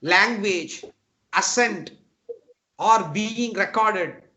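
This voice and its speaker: A man's voice: sits high, very slow, bright, forceful tone, slight background noise. A middle-aged man with a Tamil Nadu Indian English accent, giving an earnest online motivational lecture to engineering students and often calling them "my dear friend". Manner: earnest, repetitive, addresses listeners as "my dear friend". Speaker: guest speaker. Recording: dull lo-fi online talk audio.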